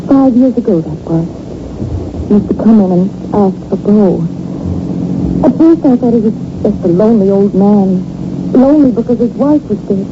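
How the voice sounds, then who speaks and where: A woman's voice: mid-range voice, expressive, normal speed, natural voice. A muffled, heavily noisy vintage radio recording carries a young woman played by an actress, haunted and fearful. She speaks with intense, dramatic urgency in the manner of 1940s radio drama. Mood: haunted recalling. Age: young adult. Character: distraught, haunted young woman confessing to killing her husband.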